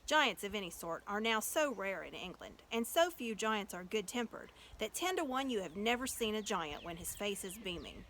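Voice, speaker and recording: A woman reading a children's story aloud as an expressive storyteller. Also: mid-range voice, normal speed, few pauses, slight background noise.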